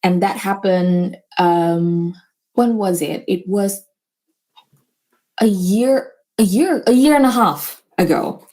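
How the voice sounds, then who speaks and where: A woman's voice: mid-range voice, takes it slowly, mellow. A woman business owner talking earnestly as a guest in a casual conversation, with small grammar slips. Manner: earnest, motivational, slightly non-native grammar. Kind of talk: casual interview chat.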